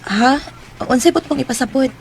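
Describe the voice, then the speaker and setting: A woman's voice: high-pitched, fairly quick, creaky, music in the background. Woman playing a worried young twin. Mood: puzzled. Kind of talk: radio drama.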